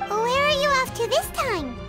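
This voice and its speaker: Tiny squeaky girl companion, extremely high, bouncy intonation, curious and confused, animated game, music behind. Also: moderate pace. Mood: puzzled, curious. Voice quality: squeaky, childlike. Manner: refers to self in third person, blunt questioning. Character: small childlike female floating companion, curious and easily confused. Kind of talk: animated game character voice acting.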